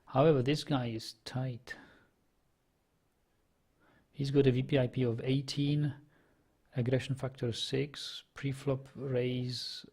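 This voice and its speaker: A man's voice: mid-range voice, little pitch movement, slow, pauses often, darkish tone, slightly rough. A young man with a Czech accent, a native Czech speaker, thinking aloud as he commentates his online poker play and reads chat, hesitant and full of fillers. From Czech Republic. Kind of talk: casual commentary while playing and reading chat.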